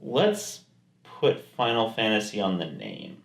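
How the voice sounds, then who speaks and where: A man's voice: fairly low pitch, wildly swooping pitch, a little slow, vocal fry. A man co-hosting a podcast, chatting casually and comedically about movies in a rambling, jokingly sarcastic way. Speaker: podcast co-host. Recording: echoey room.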